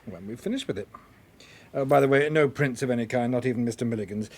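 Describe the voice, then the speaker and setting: An actor playing a matter-of-fact British police car examiner in a radio drama, informal and dryly amused. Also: male voice, medium pitch, quick pace. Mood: dry, factual. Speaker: police forensic officer. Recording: clear background ambient noise.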